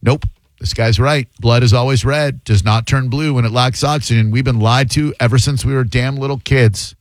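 A man's voice: medium pitch, steady tone, no echo. A male radio host taking calls and ranting bluntly, often mocking his callers. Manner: blunt, mocking, quick to rant. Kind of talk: call-in radio banter.

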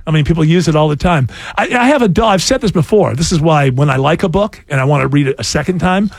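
A man's voice: a little high, expressive, fast talker, creaky, no room sound. A man hosting a casual talk show, rambling and restarting his sentences, laughing often. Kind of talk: casual banter.

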